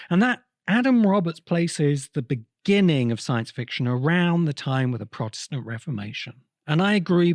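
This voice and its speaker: A man hosting a podcast, explaining literary history in a deliberate, emphatic way with pauses between phrases. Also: mid-range voice, no echo, clean studio sound. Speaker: podcast host.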